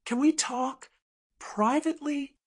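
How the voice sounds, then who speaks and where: A woman acts out a guarded businessman in his sixties for an audiobook drama. He is earnest yet controlled, speaking slowly and coolly. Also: sits high, steady tone, voice pushed up. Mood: earnest, pleading. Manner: cool, restrained, controlled.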